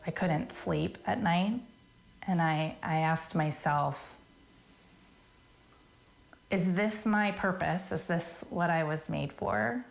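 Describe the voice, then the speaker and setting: Middle-aged woman, American accent, gravelly fry, conversational interview, faint music behind. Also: lowish voice, takes it slowly, long pauses. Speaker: interviewee, nonprofit founder. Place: small office.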